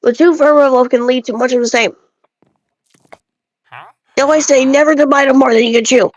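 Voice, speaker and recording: A woman's voice: sits high, fairly even intonation, brisk, dry recording, highs cut off. A woman reading a scripted monologue aloud as an amateur performance. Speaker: amateur reader of a game character's dialogue. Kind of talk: dramatic read-aloud of scripted monologue with casual asides.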